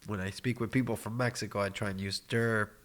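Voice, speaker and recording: A man's voice: on the low side, fairly even intonation, fairly quick, fairly bright tone. A man in his thirties from Argentina whose first language is Spanish, guesting on a podcast and telling his story in a rambling, casual way with chuckles and restarts. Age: 30s.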